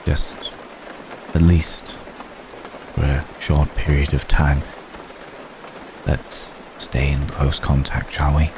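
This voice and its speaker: A man playing a centuries-old vampire gentleman in an intimate ASMR roleplay, tender, protective and world-weary, speaking formally and gently with long pauses. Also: a bass voice, vocal fry, full character voice. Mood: tender, reassuring. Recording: steady rain noise behind voice. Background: rain falling.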